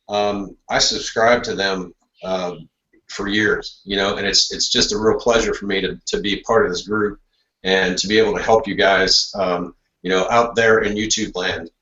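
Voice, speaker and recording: A man with a lowish voice on a video call, pausing and restarting often. His voice carries room echo, and the call audio is dull and lo-fi. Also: fairly bright tone. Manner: halting, many restarts and fillers. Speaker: guest model railroader. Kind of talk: casual remarks on a video call.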